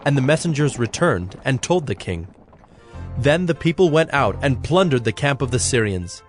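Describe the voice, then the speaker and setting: A man narrating a dramatized scripture reading in a measured, solemn manner. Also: medium pitch, big pitch swings. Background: soft background music.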